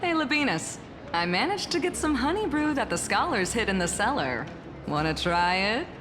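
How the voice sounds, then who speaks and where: A woman's voice: on the high side, very animated, normal speed. A woman voicing a brash, hard-drinking female soldier in a video game, cocky and teasing. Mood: inviting. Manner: cocky, challenging. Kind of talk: anime-style video game voice acting. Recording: long reverb, music behind.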